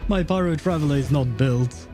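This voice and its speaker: A man's voice: fairly high pitch, normal speed, warm tone, faint music behind. A man livestreaming casual reaction commentary on a game, thinking aloud in informal language.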